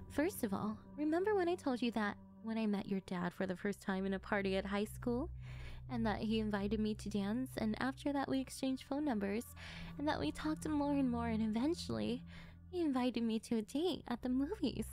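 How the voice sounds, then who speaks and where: A woman playing a sweet, doting middle-aged mother who is a calmly menacing kidnapper, speaking in a sugary, unhurried ASMR roleplay style. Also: fairly high pitch, lightly acted. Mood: calm, confessional. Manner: sugary, unhurried, calm about disturbing things.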